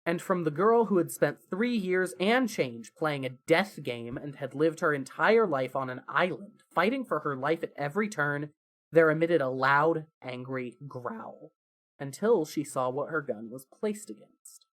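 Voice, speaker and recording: A narrator reading scripted fan fiction as a dramatic story, with deliberate pauses. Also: medium pitch, animated, fairly bright tone.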